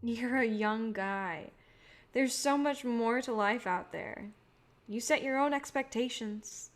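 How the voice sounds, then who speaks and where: A woman's voice: on the high side, slow. A woman playing a guarded, idealistic filmmaker, wry and self-deprecating, in a naturalistic staged play reading. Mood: reflective, guarded.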